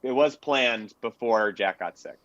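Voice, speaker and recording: A man's voice comes through dry and a little dull, at a medium pitch. He lays out facts conversationally as a panelist, in a measured, informative way. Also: a bit bright, no room sound. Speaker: panelist, researcher. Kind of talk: casual explanatory discussion. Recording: dull, lo-fi close audio.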